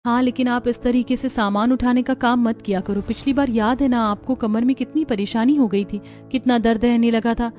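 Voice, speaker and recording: A woman voicing a worried, dutiful and soft-hearted poor housewife in a cartoon family drama. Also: fairly high pitch, fairly even intonation, normal speed, hardly any pauses, soft background music, pitched above the performer's own voice, close to an everyday voice.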